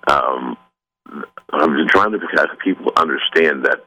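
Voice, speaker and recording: A man guest with a sore throat, speaking earnestly in a phone-in interview, halting with many restarts. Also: rumbling low, sharp tone, rough recording. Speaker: author guest.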